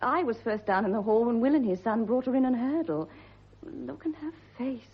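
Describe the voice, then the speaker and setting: A woman acting a well-bred, emotional young woman in a radio drama, with an upper-class English accent and a husky voice. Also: on the high side, lively intonation, a little fast. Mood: distressed. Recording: dull, lo-fi radio recording.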